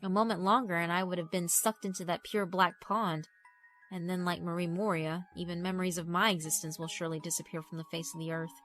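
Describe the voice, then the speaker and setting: Woman narrator reading a horror story, lowish voice, soft music underneath. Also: fairly even intonation, brisk. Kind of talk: scripted first-person horror narration. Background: background music.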